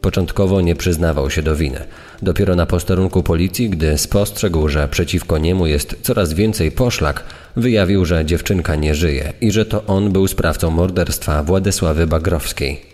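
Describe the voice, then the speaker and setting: A man's voice: low voice, moderate pace, a little mellow. A man reading a scripted crime report as a narrator. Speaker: reader of newspaper report. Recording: long hall-like reverb.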